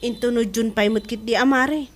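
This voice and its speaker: A woman's voice: on the high side, fairly even intonation, normal speed, slight background noise, natural voice. A woman with an Ilocano accent playing a village mother in a radio comedy drama. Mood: chatty. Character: village mother defending her child's wedding.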